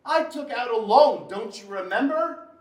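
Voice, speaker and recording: A man's voice: high voice, big pitch swings, moderate pace, fairly bright tone. A man acting a needling, self-absorbed husband in a naturalistic relationship drama, with emphatic delivery. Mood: heated. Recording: echoey, distant mic, background noise.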